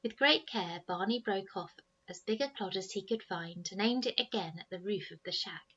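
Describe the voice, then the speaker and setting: A woman narrates a children's storybook with a bright, clear-cut edge to her voice. She reads expressively, as a teacher does to pupils, at a middling pitch. The recording is a little dull and lo-fi. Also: moderate pace.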